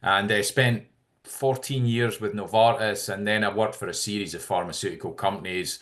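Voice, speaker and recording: A middle-aged man with a Scottish accent, giving measured, explanatory answers in a conversational interview as a podcast guest. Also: lowish voice, fairly even intonation, a bit bright. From Scotland. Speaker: podcast guest, tech company CEO.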